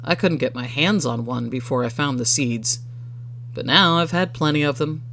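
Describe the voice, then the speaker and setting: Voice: mid-range voice, normal speed, fairly bright tone, slight background noise, natural voice. A storyteller voicing a blunt male farmer in a story, casual and dismissive. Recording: background music under the voice.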